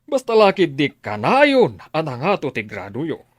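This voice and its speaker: A man playing a kindly, pious, wealthy benefactor in an Ilocano radio drama, speaking in a deliberate, emphatic way. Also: fairly high pitch, wildly swooping pitch, dry recording, clean studio sound, full character voice. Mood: benevolent, advising.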